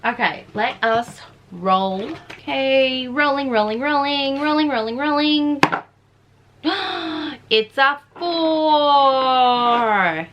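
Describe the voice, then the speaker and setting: An Australian woman in her twenties, chatting casually and informally in an excitable way, like a book vlogger. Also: high voice, drawn-out words, dull audio, slight background noise. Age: 20s. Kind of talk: casual chatty vlog talk.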